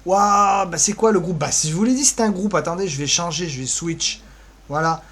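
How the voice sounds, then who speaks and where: A man with a fairly high voice gives a casual, improvised walkthrough of what is on his screen. He thinks aloud in a rambling, offhand way, with a flippant streak. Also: moderate pace, fairly bright tone. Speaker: co-presenter giving a guided tour of social media pages.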